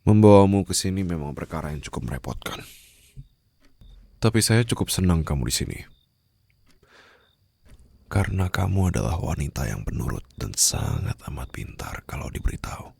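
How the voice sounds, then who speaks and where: A man's voice: animated, normal speed, long pauses, darkish tone, full character voice. A man playing a cold, menacing mafia boss in an ASMR roleplay, speaking formally and condescendingly to a captive, with mocking sweetness, close on the microphone. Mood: softly smug. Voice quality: very low, gravelly, husky. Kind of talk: ASMR roleplay acting, addressed to the listener. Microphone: close studio-style microphone.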